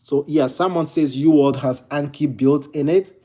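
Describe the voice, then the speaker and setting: A man's voice: a little high, fairly even intonation, normal speed, mellow. A young man from Ghana with a Ghanaian accent, casually answering viewer questions about exam study, with lots of fillers and restarts. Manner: chatty, many fillers and restarts. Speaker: medical exam tutor. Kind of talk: casual Q&A walkthrough. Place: home near a kitchen.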